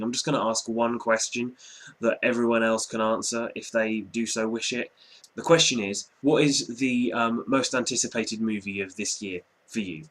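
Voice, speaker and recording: A man's voice: medium pitch, a bit bright. A young man in his twenties from Norwich with a mild general English accent, casually answering viewer questions in a rambling, chatty vlog. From Norwich, England. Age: 20s. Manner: chatty, rambling, hedging with "quite" and "um". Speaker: vlogger.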